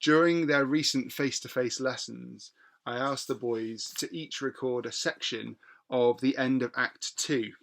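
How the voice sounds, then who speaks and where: A man with a British accent speaking as a teacher and podcast host, explaining things in a measured, somewhat hesitant way. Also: mid-range voice, steady tone, vocal fry, a bit bright.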